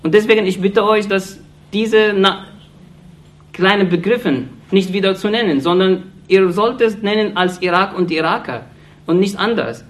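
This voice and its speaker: A man from Iraq gives an impassioned political speech in halting German, restarting phrases often and sounding earnest and accusatory. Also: sits high. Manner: halting non-native German, frequent restarts and fillers. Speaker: speaker addressing an audience.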